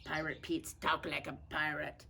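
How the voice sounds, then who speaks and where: Lady doing kids storytime, lowish gravelly voice, folksy and playful, little noise in the background. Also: flat delivery, normal speed, sharp tone. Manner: folksy, informal grammar. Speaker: storybook reader for children. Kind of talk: playful read-aloud storytelling with chatty asides.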